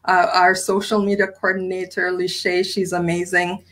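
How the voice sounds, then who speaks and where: Lowish-voiced woman, conversational. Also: level tone, normal speed, fairly bright tone. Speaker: panel guest. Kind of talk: conversational, informative.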